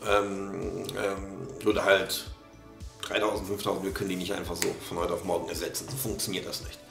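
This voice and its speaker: A man's voice: sits low, flat delivery, brisk, creaky, fairly bright tone, reverberant, soft background music. A man in his thirties with a slight cold, commenting on a video casually, with many fillers and restarts. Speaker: reaction video host. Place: small room at a desk.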